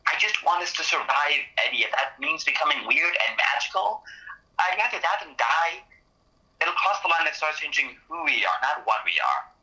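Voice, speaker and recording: A man's voice: on the high side, expressive, moderate pace, bright, forceful tone, rough recording. A man playing a blunt, teasing, pragmatic researcher with a dry delivery, in a naturalistic conversational audio drama.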